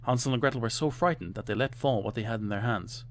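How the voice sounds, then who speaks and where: A man's voice: medium pitch, quick pace, a little hiss or hum. A man reading a fairytale aloud as scripted storybook narration.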